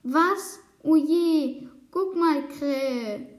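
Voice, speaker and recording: With a roomy echo around her, a woman plays a naive storybook mother cow, high and very slow. The cow is anxious yet playful, with hesitant, drawn-out exclamations. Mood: dismayed. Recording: roomy, echoing sound.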